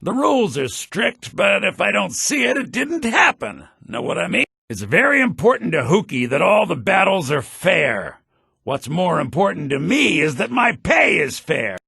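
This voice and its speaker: Wry, expressive fantasy townsman. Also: normal speed, gravelly, slightly rough, dry recording, studio quality, heavily acted. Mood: brisk, pushy. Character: male fantasy townsfolk, merchants and mages, shrewd and wry. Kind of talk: scripted video game character lines. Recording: low-bitrate game audio rip.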